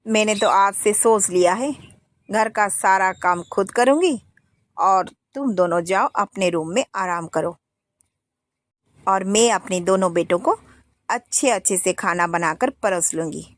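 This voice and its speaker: A woman's voice: medium pitch, a little slow, no room sound, lightly acted. A woman voicing a stern older mother-in-law who scolds the household firmly, in a storybook tale. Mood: resigned. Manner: scolding, firm.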